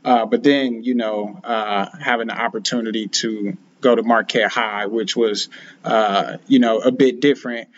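A man's voice: lowish voice, fairly even intonation, normal speed, dull audio, slight background noise. A man hosting an interview asks long, hesitant questions, filling them with "uh" and "you know". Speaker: podcast host, interviewer.

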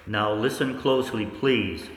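A man plays a mysterious, insistent figure from a 1940s radio drama. His voice is on the low side and deliberate. It rings with heavy echo and long hall reverb. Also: slight background noise, natural voice. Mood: urgent, mysterious. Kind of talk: 1940s radio play acting.

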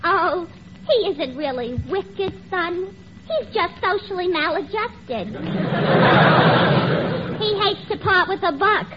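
A woman's voice: very high voice, lively intonation, slow pace, pauses often, a loud crowd, heavily acted. A woman playing a poor, starving widowed mother, mournful and scolding, in a melodramatic 1940s radio comedy style. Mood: soothing. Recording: muffled noisy old radio, loud studio audience.

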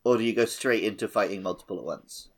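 A man with a fairly low voice co-hosts a casual gaming podcast. He talks in a loose, jokey, conversational way and laughs easily as he goes. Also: fast. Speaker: podcast co-host.

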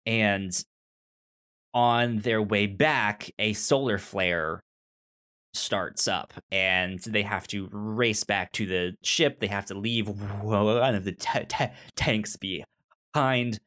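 A man's voice: lowish voice, slow pace, bright, forceful tone. A young man co-hosting a casual movie discussion, stammering and often repeating sounds and words as he talks. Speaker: podcast co-host.

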